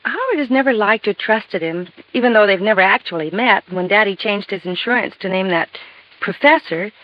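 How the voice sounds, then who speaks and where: A woman's voice: mid-range voice, animated, brisk, natural voice. A woman acting a young wife in a 1950s radio drama. She is chatty and anxious, earnestly explaining and protesting, on a dull old recording. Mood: troubled, insistent. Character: chatty, anxious married woman in her late twenties.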